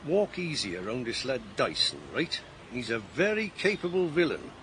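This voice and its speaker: A man's voice: medium pitch, sing-song, a bit bright, a little hiss or hum. An actor playing an older retired policeman, chatty and nostalgic, in a naturalistic crime drama. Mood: cautioning. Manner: chatty, unhurried, chuckling old-timer with British slang. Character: retired policeman, older man, avuncular, nostalgic, shrewd adviser.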